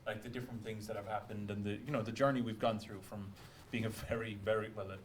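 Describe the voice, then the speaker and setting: A man's voice: on the low side, little pitch movement, fast talker, slight background noise. A man hosting a casual panel chat among game developers. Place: live stream room in an office.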